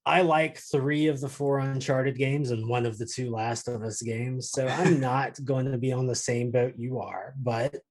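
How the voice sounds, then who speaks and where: Slightly husky man co-hosting a casual chat. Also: mid-range voice, steady tone, unhurried, hardly any pauses, darkish tone. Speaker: show co-host. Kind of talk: casual unscripted podcast chat.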